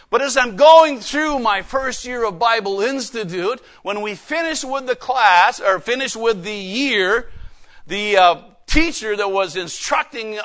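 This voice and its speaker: A man's voice: high voice, pushed and bright. A man, probably middle-aged, preaching in a folksy, emphatic way with personal stories and rhetorical questions. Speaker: preacher and missionary. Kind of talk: sermon with personal anecdotes.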